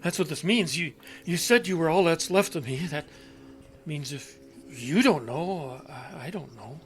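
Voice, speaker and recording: A man's voice: a little high, expressive, a bit bright. A stammering, confused old man. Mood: anxious, pleading. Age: older. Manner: halting, stammering, repeating words. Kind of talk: audio drama acting.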